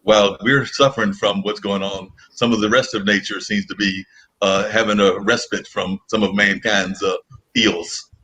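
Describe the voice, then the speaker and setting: A man's voice: on the low side, fairly even intonation, normal speed, bright, forceful tone, husky, rough recording. A man hosting a radio talk show from home over a video call, rambling with many filler phrases. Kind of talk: casual talk show chat.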